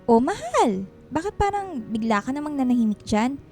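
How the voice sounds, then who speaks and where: Casting: migrant-worker wife, high voice, loving but quick to exasperation, Filipino radio drama with music bed. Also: female voice, big pitch swings, warm tone. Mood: casual, affectionate.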